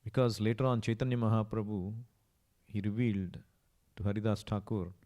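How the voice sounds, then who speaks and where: A man's voice: on the low side, steady tone, a little fast, dark tone. Indian male preacher, emphatic storyteller. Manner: emphatic, repetitive, rhetorical questions. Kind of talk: sermon with storytelling.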